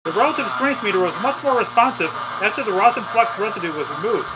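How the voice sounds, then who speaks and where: A man's voice: high-pitched, animated, fast talker, background noise. A man narrating a scripted step-by-step restoration tutorial. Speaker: narrator, vintage radio restorer.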